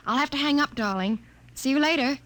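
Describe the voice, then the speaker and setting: A woman's voice: sits high, a little fast, very noisy, close to an everyday voice. An actress playing a poised but nervous young woman geneticist in a 1950s science fiction radio drama. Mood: brisk, composed. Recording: 1950 radio broadcast recording.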